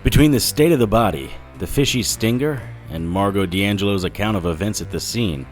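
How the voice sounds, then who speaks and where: An actor playing a sardonic 1940s detective who narrates a noir audio drama and trades wisecracks. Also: male voice, on the low side, a little fast, faint music behind, lightly acted. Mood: grim, weary. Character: wry, hard-boiled 1940s male occult detective narrator.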